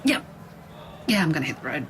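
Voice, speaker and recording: A woman's voice: low voice, very animated, a little fast, fairly bright tone, slight background noise. A woman playing a tired, hesitant restaurant coworker, speaking casually.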